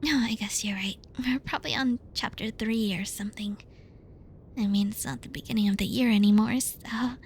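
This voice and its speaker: A woman playing a bratty, flustered tsundere student in an ASMR roleplay, speaking softly and breathily close to the mic in a pouty, halting, defensive way. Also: on the high side, breathy edge. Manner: halting, pouty, defensive, stumbles over words. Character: bratty tsundere young female student, flustered, teasing and bossy. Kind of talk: ASMR roleplay addressed to the listener.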